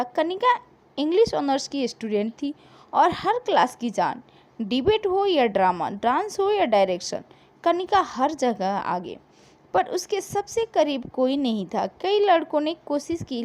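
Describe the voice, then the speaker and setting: Female narrator, high voice with very lively intonation, dramatic scripted storytelling, emotive and measured with pauses.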